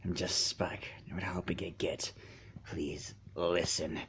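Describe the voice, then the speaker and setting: A man voicing an earnest, gentle young male dragon who speaks in a careful, restrained, pleading way. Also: low-pitched, fairly even intonation, unhurried, a little hiss or hum, pitched below the performer's own voice. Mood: soft pleading.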